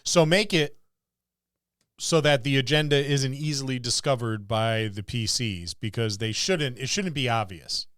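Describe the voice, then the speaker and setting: A man's voice: medium pitch, a little slow, fairly bright tone, no room sound, studio quality. A man co-hosting a podcast, talking casually about running tabletop games. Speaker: podcast co-host. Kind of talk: casual tabletop gaming discussion.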